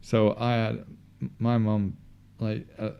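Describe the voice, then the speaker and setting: A man with a fairly deep voice, co-hosting a casual film commentary, chatty and quick to laugh at himself. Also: little pitch movement, creaky, smooth, highs cut off. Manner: chatty, self-deprecating, laughs often. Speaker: podcast co-host.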